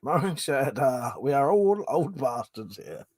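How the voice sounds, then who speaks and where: A man's voice: mid-range voice, a little slow, breathy edge, no echo, studio quality. A man in his forties chatting casually on a live stream, reading out comments, swearing and laughing as he talks. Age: 40s. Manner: swearing, laughing, animated banter. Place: home room.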